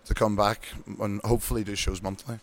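A man with an Irish accent chatting casually in an interview, relaxed and rambling with many ums and restarts. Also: on the low side, fairly quick. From Ireland. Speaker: bingo show host, guest.

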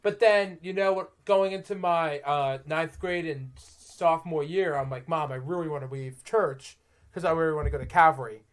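An earnest young man in his early twenties talks eagerly and often repeats his words for emphasis. His voice sits a little higher than most men's. He sounds like a guest in a relaxed chat. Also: normal speed. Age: 20s. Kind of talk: casual podcast conversation.

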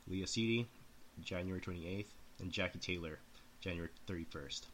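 A man reading out a list of birthdays and anniversaries, pausing between names and dates. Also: sits low, a bit bright, a little hiss or hum.

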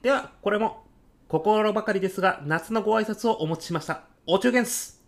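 A man's voice: sits high, fairly quick, creaky. A man acting as an earnest, overzealous young salesman who moonlights as a food courier in a comic radio drama, speaking in eager, casual-polite salaryman style. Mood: brisk, polite.

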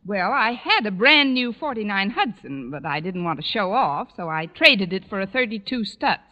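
Casting: actress as sardonic woman schoolteacher, swooping highish voice, vintage radio sitcom, heavy noise. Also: very animated, moderate pace, dull audio, natural voice. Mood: wry. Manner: dry, sarcastic wisecracking. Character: wry, sharp-tongued woman high school teacher. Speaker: actor in radio situation comedy. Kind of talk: 1940s radio comedy acting. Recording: noisy old 1940s radio broadcast.

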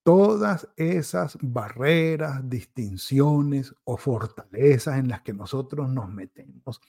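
A male pastor preaching a devotional sermon, who pauses and restarts often and mimics people's excuses in quick quoted asides. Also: medium pitch, expressive, gravelly, warm tone, slightly rough. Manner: pauses and restarts, self-corrects.

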